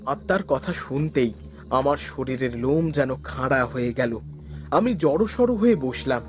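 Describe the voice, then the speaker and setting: A man's voice: medium pitch, animated, measured pace, soft background music. A man narrating a spooky cartoon story in the first person. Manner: stammers nervously when frightened. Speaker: narrator and lead character.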